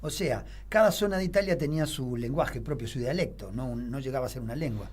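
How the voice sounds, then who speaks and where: Middle-aged Rioplatense man, husky, rambling teacher on a radio talk show. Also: medium pitch, a little fast, a little mellow. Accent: Rioplatense Spanish. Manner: rambling with many fillers and restarts. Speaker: theater teacher guest. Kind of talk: relaxed radio interview, explaining theater history. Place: radio studio.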